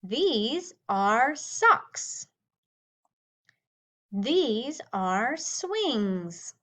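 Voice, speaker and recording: A woman teacher speaks at a very slow pace, stretching out each word so young learners can follow. Her voice sits a little high and swings lively up and down. She sounds like she is taking a class through a beginner English lesson. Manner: slow, clearly spoken teaching voice with drawn-out words.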